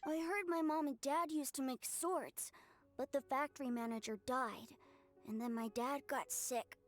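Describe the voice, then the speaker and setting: A woman voicing an innocent, lonely, sickly small child in a video game, speaking simply and softly. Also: sits high, steady tone, moderate pace, close to an everyday voice. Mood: wistful. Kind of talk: dramatic video game acting. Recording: music behind the voice.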